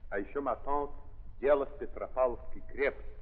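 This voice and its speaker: An actor playing an earnest, guilt-ridden aristocratic nephew, polite but insistent, with a husky voice in 1930s theatrical style. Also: male voice, mid-range voice, brisk, lightly acted. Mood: pleading. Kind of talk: 1930s theatrical radio acting. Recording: tinny 1930s recording with hall reverb.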